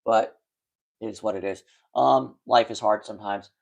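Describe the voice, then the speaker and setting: A man's voice: fairly low pitch, fairly even intonation, normal speed, gravelly, dull audio. A man livestreaming late at night, chatting casually and frankly with his viewers, with a stutter that makes him repeat words and restart sentences. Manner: rambling, frank, lots of fillers and restarts.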